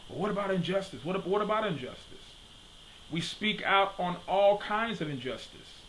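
A man's voice: sits high, expressive, moderate pace, a bit bright, a little hiss or hum, low-quality recording. A man in his thirties from Detroit, giving an emphatic preaching-style commentary to a webcam in his home study and repeating his questions for force. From Detroit, USA. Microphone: webcam at desk.

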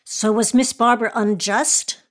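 A woman's voice: a little high, fairly even intonation, measured pace. A woman hosting an interview, putting prepared questions to her guests. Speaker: host, interviewer.

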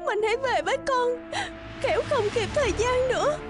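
A woman's voice: extremely high pitch, normal speed. A woman voicing a sorrowful, loving young village wife in a fairy-tale cartoon, plaintive and tearful. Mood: weak, sorrowful. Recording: music under the voice.

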